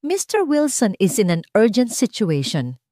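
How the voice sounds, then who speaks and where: A woman's voice: a little high, very animated, normal speed, dark tone, no echo, clean studio sound, voice pushed up. Woman playing formal Filipino female executive assistant. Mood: businesslike. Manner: formal, professional.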